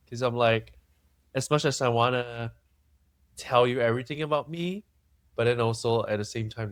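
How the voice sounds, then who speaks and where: A young man from Singapore, talking candidly and thoughtfully as a podcast guest, often pausing and restarting. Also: medium pitch, fairly bright tone, no room sound. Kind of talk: candid conversational interview.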